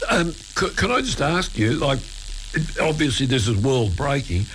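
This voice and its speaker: A man's voice: mid-range voice, very animated, measured pace, fairly bright tone, a little hiss or hum, low-quality recording. A man hosting an interview, asking questions casually with hesitant fillers. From Australia. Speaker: radio host.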